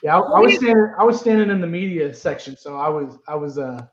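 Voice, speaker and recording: A man's voice: on the high side, quick pace, background noise. A man chiming in eagerly on a casual chat. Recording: roomy, dull sound from a distant mic.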